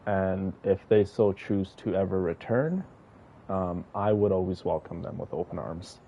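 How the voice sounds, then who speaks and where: Low-voiced man streaming, slightly muffled. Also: steady tone, measured pace, gravelly, warm tone, slight background noise. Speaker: game streamer. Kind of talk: casual livestream commentary.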